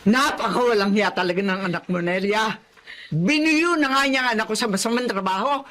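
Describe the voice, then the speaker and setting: A woman's voice: medium pitch, a little fast, a bit bright, natural voice. An actress playing a bitter older mother-in-law in a Filipino radio drama.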